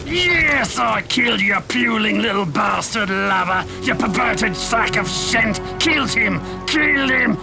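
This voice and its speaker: Radio-play villain: high, gravel-throated, spiteful and unrepentant, spitting venom over loud music. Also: male voice, expressive, a little slow, hardly any pauses, exaggerated voice. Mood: raging, gloating. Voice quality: creaky, gravelly. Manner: venomous, florid cursing. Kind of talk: cinematic radio drama acting. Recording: loud dramatic music under voice.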